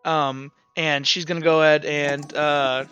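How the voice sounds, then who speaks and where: A man's voice: on the high side, level tone, fairly bright tone, clear, resonant tone, no room sound, highs cut off. A young man narrating a tabletop role-playing session as game master, with many fillers and restarts. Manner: halting, filler-heavy narration.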